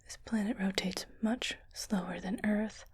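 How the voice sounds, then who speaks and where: A woman playing a calm, reassuring ship's doctor in a science fiction ASMR roleplay, speaking in a breathy whisper with a measured, clinical manner. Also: medium pitch. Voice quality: breathy, mostly unvoiced whisper. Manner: slow, measured, clinical and soothing.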